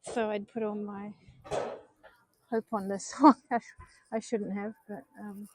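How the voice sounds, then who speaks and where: A woman's voice: mid-range voice, little pitch movement, a little slow, darkish tone, dry recording. A woman with a New Zealand accent chatting to her viewers on a live stream as she walks through city streets, sounding subdued and disappointed. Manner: talks to viewers while walking, subdued and disappointed. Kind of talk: casual live-stream chat while walking. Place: city streets outdoors at night.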